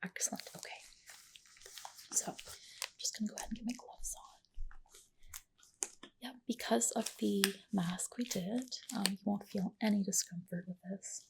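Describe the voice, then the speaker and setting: A woman with a soft, breathy, whispering voice doing a gentle, reassuring ASMR roleplay as a spa practitioner, close to the microphone. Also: medium pitch, unhurried, pauses often, rough recording. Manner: gentle, reassuring client-care talk. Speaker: ASMR creator playing a medical spa practitioner. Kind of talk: ASMR roleplay, personal attention.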